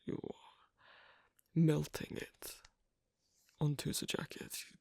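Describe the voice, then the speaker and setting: A performer voicing an asocial, reticent werewolf in an ASMR roleplay, speaking haltingly in a breathy, husky half-whisper. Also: completely flat delivery, warm tone, no room sound, studio quality, exaggerated voice. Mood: quietly explaining. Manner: halting, hesitant, low-key. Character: asocial, reticent young werewolf on a sewing date.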